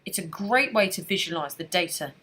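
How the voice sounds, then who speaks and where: Trainer voice: woman, low and husky, animated pitch, steady scripted tutorial read. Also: fairly quick. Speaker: software trainer. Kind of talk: scripted screencast narration.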